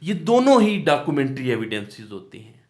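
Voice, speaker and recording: A man's voice: on the high side, wildly swooping pitch, fairly quick. A man with a Pakistani accent giving an explanatory law lecture, reading out definitions and explaining them, often asking and answering his own questions. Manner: switches between Urdu-Hindi and English, reading definitions then explaining them.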